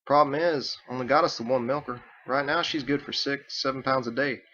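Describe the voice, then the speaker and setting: A man voicing a slow, resigned, folksy livestock hand who talks in terse phrases for an audiobook. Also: medium pitch, sharp tone, lo-fi sound, slight background noise, voice pushed up, natural voice. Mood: thoughtful.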